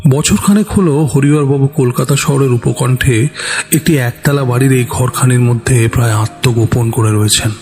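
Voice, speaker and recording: A man's voice: mid-range voice, moderate pace, a little mellow. A man narrating a scripted suspense story in a dramatic storytelling style. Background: background music.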